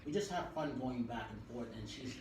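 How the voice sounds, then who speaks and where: A male talk show host giving a brisk broadcast intro and trading teasing banter with his round-table guests. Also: mid-range voice, animated, darkish tone. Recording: echoey room, mic far off, noisy.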